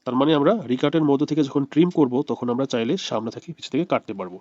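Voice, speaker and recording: Very fast-talking man giving a tutorial. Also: mid-range voice, mellow, dry recording, dull audio. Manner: explanatory, repeats points step by step. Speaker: video editing instructor. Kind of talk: tutorial screen-recording narration.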